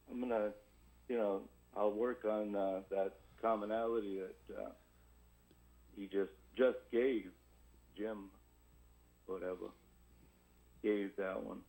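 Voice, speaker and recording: A man chatting casually as a radio caller, hoarse and froggy, hesitant and rambling. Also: on the low side, steady tone, slow, pauses often, a little mellow, poor audio. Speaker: radio call-in listener. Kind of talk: casual call-in chat.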